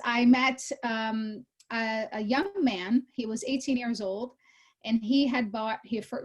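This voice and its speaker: A woman in her thirties from Brazil, a real estate executive, telling her story as an interview guest in a lively conversational way, correcting herself as she goes. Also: on the high side. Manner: animated storytelling with laughs and self-corrections. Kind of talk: casual interview chat.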